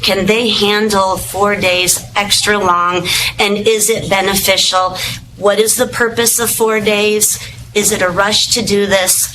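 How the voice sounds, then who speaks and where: A woman's voice: medium pitch, a bit bright, slight background noise, low-quality recording. A woman, a parent, asking a string of rhetorical questions in a public comment at a school board meeting.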